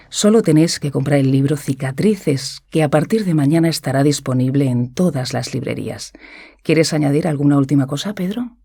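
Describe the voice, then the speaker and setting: A woman with a Peninsular Spanish accent playing a gentle, sympathetic radio interviewer in a scripted audio drama. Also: low voice, slight background noise. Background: music.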